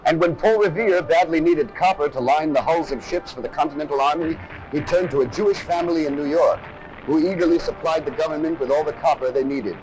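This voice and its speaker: A man's voice: on the high side, fairly quick, darkish tone, rough recording. A man giving scripted, dramatic documentary narration on a harsh, over-modulated old film soundtrack. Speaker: presenter of an army training film.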